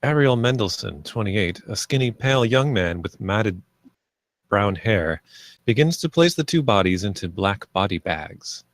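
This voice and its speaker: A man giving scripted narration, reading screenplay stage directions in a plain, measured manner. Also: lowish voice, lively intonation, no echo.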